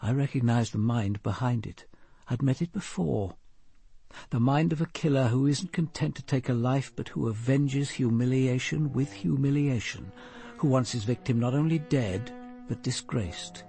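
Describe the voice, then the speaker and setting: An actor playing a brooding, analytical senior British detective in a radio drama, speaking in a grave, measured and deliberate way. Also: male voice, mid-range voice, gravelly, warm tone, breathy edge, no room sound, faint music behind. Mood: grave, reflective. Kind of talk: radio drama acting, reflective narration.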